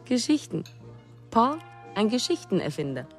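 A woman's voice: on the high side, big pitch swings, normal speed, soft background music. An actress playing a curious, teasing woman who probes a writer friend in a German radio play. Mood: playful probing.

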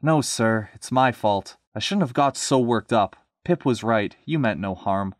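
A man's voice: medium pitch, animated, unhurried, no echo, clean studio sound, close to an everyday voice. A man voicing a proud, hot-tempered female diesel engine with a headstrong manner in a storybook reading. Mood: embarrassed, apologetic. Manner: indignant, headstrong, fuming.

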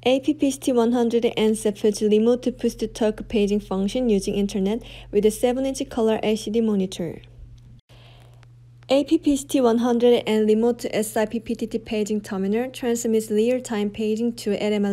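A woman reading scripted product demonstration narration in a measured, formal manner. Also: mid-range voice, dark tone.

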